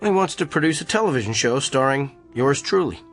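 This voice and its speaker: A man's voice: mid-range voice, expressive, brisk, a bit bright, soft background music. An actor playing a pompous, defensive male doctor with an inflated view of his gift, in a radio drama. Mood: smug. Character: arrogant, self-important gifted male doctor.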